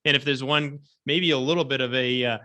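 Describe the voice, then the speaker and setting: Man, podcast host, bright voice, reflective, long questions. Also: mid-range voice, fairly even intonation, brisk. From Southeastern Wisconsin.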